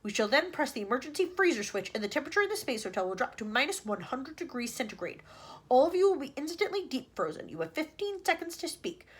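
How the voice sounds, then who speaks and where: A woman reading aloud gives voice to a booming, commanding male voice from a loudspeaker, speaking loudly and sternly. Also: very animated, quick pace, husky, heavily acted. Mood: booming, threatening. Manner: loud, stern, threatening.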